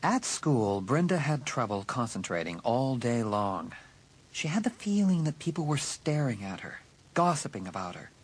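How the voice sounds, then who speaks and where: Male narrator, husky, animated, medium pitch, dramatic scripted storytelling, teen thriller audiobook. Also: moderate pace, poor audio.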